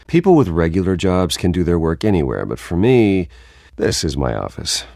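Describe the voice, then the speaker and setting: A man acting as a brooding, methodical masked vigilante in a video game, musing to himself in a measured, low-key way. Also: sing-song, vocal fry, darkish tone, dry recording, clean studio sound, full character voice. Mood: focused, brooding.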